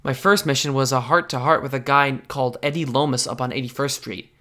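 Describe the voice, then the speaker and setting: A man's voice: medium pitch, fairly even intonation, a little fast, bright, forceful tone, natural voice. A man playing an earnest, insecure teenage amateur superhero in a comic dub, speaking as narrator and in dialogue. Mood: confiding, uneasy. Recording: background music under the voice.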